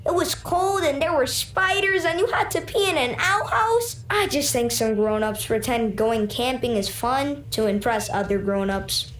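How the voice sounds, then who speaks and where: A woman's voice: high voice, expressive, measured pace, hardly any pauses, roomy sound, a little hiss or hum. A woman voicing a chatty, opinionated young child in a video game. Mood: opinionated, dismissive. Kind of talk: voiced video game dialogue.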